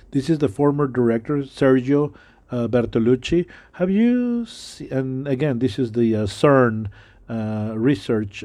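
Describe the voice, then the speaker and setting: Middle-aged male preacher, non-native English accent, halting delivery, warm mellow voice, mid pitch. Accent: non-native English speaker. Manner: halting, many fillers and restarts. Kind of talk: sermon.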